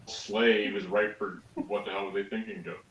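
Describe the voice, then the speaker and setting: A man's voice: on the low side, level tone, moderate pace, a bit bright. A man chatting casually as a guest panelist on a review show. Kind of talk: casual chat. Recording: roomy echo with background noise.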